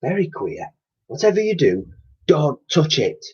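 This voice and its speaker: A man's voice: a little high, huge pitch swings, a little slow, slightly rough, voice pushed up, heavily acted. A man voicing a frightened old female cleaner with a blunt, slangy way of talking. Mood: anxious. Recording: dull lo-fi recording.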